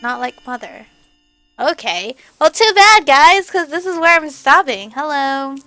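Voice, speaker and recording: A young woman hosting a let's play, reading a visual novel aloud with a hoarse, fading voice and casual asides. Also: high voice, animated, unhurried, bright, forceful tone, faint music behind. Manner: casual asides between earnest narration. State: hoarse, losing her voice.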